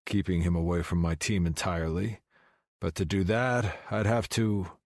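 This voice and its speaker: A man's voice: sits low, steady tone, moderate pace, no echo, clean studio sound. A man playing a driven, hot-tempered finance executive in first-person audiobook narration, intense and blunt. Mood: brooding, determined.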